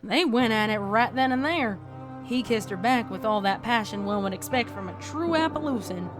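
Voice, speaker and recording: A woman voicing a deadpan cowgirl pony who tells a spooky tale in a folksy, dramatic way for a fan fiction audio story. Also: a little high, moderate pace, natural voice. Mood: folksy, animated storytelling. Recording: background music under the voice.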